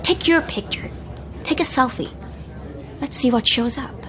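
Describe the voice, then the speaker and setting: A woman playing a wary, serious young woman in a naturalistic audio drama, speaking in a guarded, hushed way. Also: sits high, a little slow, crowd noise.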